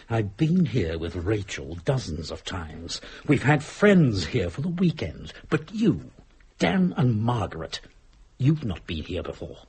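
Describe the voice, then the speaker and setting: An actor playing an agitated, insistent husband trapped in a haunted house, arguing urgently in a dramatic audio thriller. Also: male voice, mid-range voice, breathy edge, full character voice. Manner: urgent, argumentative, pressing questions.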